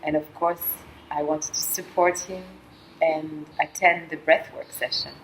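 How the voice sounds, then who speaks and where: A middle-aged woman from Colombia speaks in a lower register, soft and halting, with many small pauses and fillers. She talks in a reflective, personal monologue, and a faint hum of ambient noise sits under her voice. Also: flat delivery, rough recording. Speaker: vlogger sharing a personal experience.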